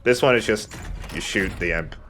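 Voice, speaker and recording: A man's voice: lowish voice, normal speed, a bit bright. A man giving casual, opinionated and sometimes sweary video game commentary alongside a co-host. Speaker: let's play commentator. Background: game music.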